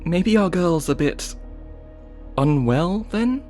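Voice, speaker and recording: Guy as a careful male cop, voice a bit high, hesitant, asks questions, audio drama with soft music. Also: expressive, takes it slowly. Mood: tentative doubt. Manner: tentative, questioning. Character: Kinsey, hesitant, questioning, level-headed male police detective.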